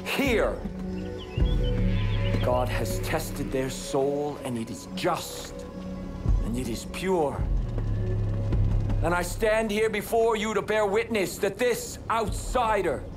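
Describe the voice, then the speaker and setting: Slow, solemn cult prophet. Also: male voice, high-pitched. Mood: fervent, rallying. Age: middle-aged. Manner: slow, deliberate, preacher-like solemnity. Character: male cult prophet and father, solemn, fervent, commanding. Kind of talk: dramatic video game cutscene acting. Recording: loud music behind the voice.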